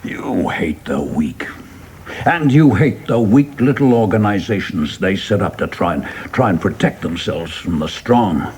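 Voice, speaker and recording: An actor in a radio play playing a seasoned, humane government official, questioning deliberately with gentle scorn and pauses. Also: male voice, low-pitched, sing-song, slight background noise. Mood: indignant, probing.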